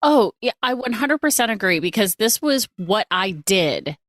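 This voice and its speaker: A woman's voice: mid-range voice, big pitch swings, normal speed, dry recording, studio quality. A woman hosting a podcast and coaching, chatting in a casual, emphatic and gushing way, often repeating phrases for effect. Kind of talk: casual, animated interview chat.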